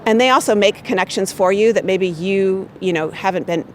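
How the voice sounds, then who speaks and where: A loud-voiced American woman talks freely about her workplace in an interview. She circles back and restarts her thoughts, filling gaps with "you know." Faint street noise sits in the background. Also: mid-range voice, moderate pace. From United States. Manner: chatty, many fillers and restarts. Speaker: corporate marketing manager. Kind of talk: unscripted interview answer.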